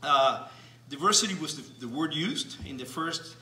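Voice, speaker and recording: A man born in Cuba giving a measured lecture to an audience, often restarting phrases and pausing with fillers. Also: a little high, expressive, pushed and bright, roomy sound, recorded from a distance, a little hiss or hum. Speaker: speaker giving a talk.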